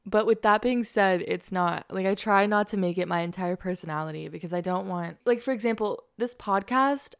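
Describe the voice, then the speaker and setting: A woman's voice: on the low side, clean tone, no room sound, clean studio sound. A young woman hosting a podcast alone, rambling in casual, self-deprecating chat with a slight lisp. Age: young adult. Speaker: podcast host.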